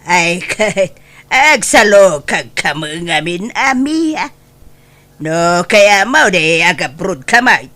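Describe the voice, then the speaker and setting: A woman's voice: fairly low pitch, big pitch swings, slow pace, a bit bright, exaggerated voice. A woman playing a weary, complaining older mother and aunt in a comedy drama, full of sighing exclamations. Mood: concerned. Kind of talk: Ilocano comedy drama acting.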